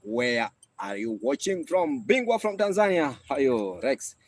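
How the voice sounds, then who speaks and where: Slow, lively Kenyan man on a stream. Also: gravelly. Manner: drawn-out, playful, breaks into laughs and high whoops. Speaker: musician streaming live. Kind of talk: live stream shout-outs to viewers. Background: loud traditional string music with rattles.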